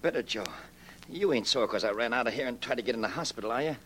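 An actor playing a jumpy, uneducated young crook with slangy street talk, in 1940s radio style. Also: male voice, mid-range voice, fast, a bit bright, close to an everyday voice. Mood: meek, eager. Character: jumpy, uneducated young male crook, loyal gang sidekick. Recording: noisy old 1940s radio recording.

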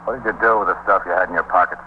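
An actor playing a stern, dry police officer in a 1950s radio drama, terse and world-weary. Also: male voice, low voice, fairly even intonation, racing, close to an everyday voice. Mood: stern, pressing. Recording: tinny 1950s radio recording, faint hum.

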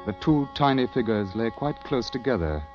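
An actor playing a somber war correspondent, narrating gravely and with measured delivery in a 1940s radio drama. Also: male voice, medium pitch, expressive, lightly acted. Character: somber male British war correspondent recalling a battle. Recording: muffled old radio, music behind.

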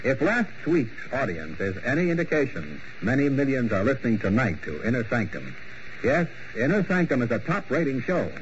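Male radio host, vintage broadcast, sly macabre wit, animated pitch, dull lo-fi recording. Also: normal speed. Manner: sly, mocking, punning with grim jokes. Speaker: program host and announcer. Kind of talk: 1940s radio hosting. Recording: muffled old radio broadcast with faint hiss.

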